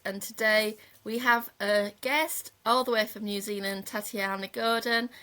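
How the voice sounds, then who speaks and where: Woman, podcast host, bright tense tone, casual fillers. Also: mid-range voice. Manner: chatty, loose phrasing with fillers. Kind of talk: casual interview chat.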